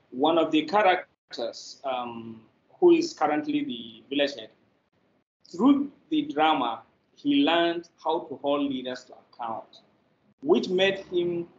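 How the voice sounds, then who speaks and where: A middle-aged man giving an answer as a guest in an interview on a video call. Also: a little high. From Nigeria. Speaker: media producer, interview guest. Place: echoey indoor room, on a video call. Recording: roomy, dull, noisy video call.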